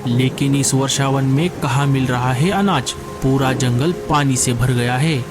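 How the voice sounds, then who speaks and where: A man's voice: mid-range voice, steady tone, measured pace, music in the background, close to an everyday voice. A man voicing an earnest, fretful male forest bird in a cartoon, exclaiming and thinking aloud. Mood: worried. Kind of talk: Hindi cartoon dialogue acting.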